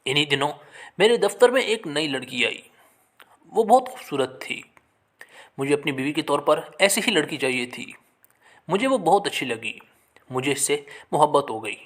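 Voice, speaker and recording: A man's voice: fairly high pitch, a bit bright. A man narrating a scripted first-person story in a dramatic, emotional manner.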